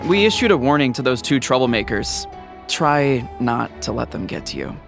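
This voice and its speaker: Gravelly male tournament official. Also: mid-range voice, moderate pace, music in the background. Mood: reassuring. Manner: steady, advising. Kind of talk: animated game cutscene dubbing.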